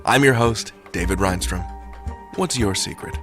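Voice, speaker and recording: A man's voice: lowish voice, normal speed, music in the background. A man hosting a podcast, reading a scripted introduction with wry, dramatic emphasis. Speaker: podcast host.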